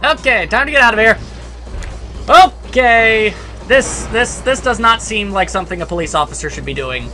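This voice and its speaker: A man with a high, bright voice gives casual video game commentary. He is sarcastic and playfully grandiose, with game music behind him. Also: moderate pace. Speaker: let's play commentator.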